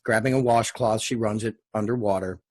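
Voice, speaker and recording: A man reading screenplay stage directions at a table read, in measured, short clipped phrases. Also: lowish voice, steady tone, darkish tone.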